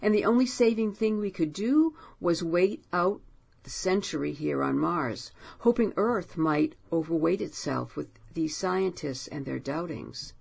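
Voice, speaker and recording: A woman's voice: lowish voice, measured pace, vocal fry, a little mellow, breathy edge, full character voice. A woman voicing a grim, frightened and angry male writer in a storybook reading, pleading urgently. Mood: impassioned. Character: grim, brooding male writer, driven and bitter.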